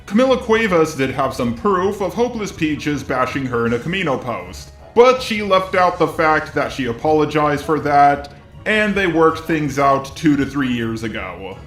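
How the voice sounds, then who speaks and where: A man's voice: medium pitch, a bit bright, echoey room. A synthetic text-to-speech man's voice narrating a scripted commentary video. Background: background music.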